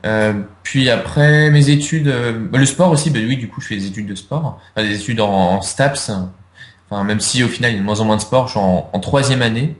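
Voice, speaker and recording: A man's voice: medium pitch, brisk, roomy sound. A young man answering interview questions in casual chat, hesitant, with many fillers and restarts. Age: young adult. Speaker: interviewee, video creator.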